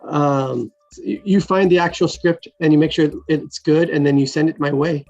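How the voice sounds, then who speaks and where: Husky-voiced host on muffled call audio. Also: male voice, on the high side, steady tone, normal speed, a little mellow, faint music behind. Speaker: podcast host. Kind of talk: hosting a casual video call show.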